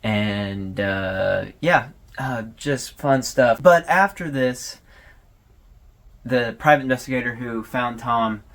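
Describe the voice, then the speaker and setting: A man's voice: medium pitch, slow pace, a bit bright. A man hosting a video analysis, giving casual, conversational commentary with hesitations and informal asides. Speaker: host of a horror series analysis video.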